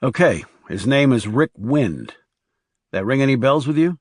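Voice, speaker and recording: A man's voice: mid-range voice, big pitch swings, a little slow, dry recording, clean studio sound. A man voicing a guarded, blunt male assassin in terse audiobook dialogue. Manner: terse, questioning.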